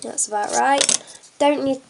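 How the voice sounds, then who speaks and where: A woman's voice: high voice, unhurried, creaky, noisy background. Stuffy-nosed young woman demonstrating a craft. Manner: chatty, many fillers, talks while working. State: congested from a cold. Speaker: craft tutorial maker. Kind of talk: casual hands-on tutorial. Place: at a table indoors, hands on camera.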